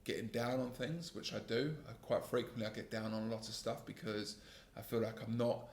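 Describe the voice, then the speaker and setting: Calm gravelly-voiced man in an echoey room. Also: on the low side, a little fast, a little hiss or hum. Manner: calm, earnest, self-critical, with frequent restarts. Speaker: podcast host and sales trainer. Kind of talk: solo reflective podcast monologue.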